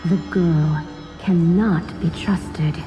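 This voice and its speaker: A woman voicing an eerie, ominous spirit from beyond in an anime game, with a breathy, whispering, drawn-out and cryptic delivery. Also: lowish voice, steady tone. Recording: long reverb, faint music behind.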